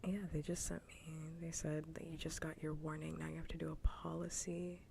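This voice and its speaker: A woman ASMR streamer chatting casually in a soft, breathy whisper close to the microphone, repeating herself as she goes. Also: sits low, level tone, normal speed, a little hiss or hum. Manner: casual, repetitive, mildly swearing. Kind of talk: whispered ASMR livestream chat.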